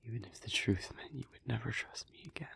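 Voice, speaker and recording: Man playing a whispering, husky, lovelorn demon. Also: mid-range voice, heavily acted. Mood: pleading, earnest. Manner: slow, halting, hushed delivery with pauses. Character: earnest, guilt-ridden male demon in love. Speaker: audio roleplay voice actor. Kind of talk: intimate dramatic audio roleplay monologue.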